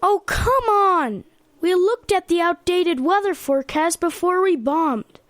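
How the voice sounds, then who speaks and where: A woman's voice: very high voice, expressive, a little slow, a bit bright, no echo, exaggerated voice. A woman playing an eager, defensive campaign aide in a radio comedy. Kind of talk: comedy radio theater acting.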